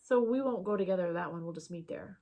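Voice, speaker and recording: A woman's voice: lowish voice, fast talker, warm tone. A woman, co-hosting a casual stitching chat, rambling and chatty with plenty of fillers. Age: 40s. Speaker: co-host.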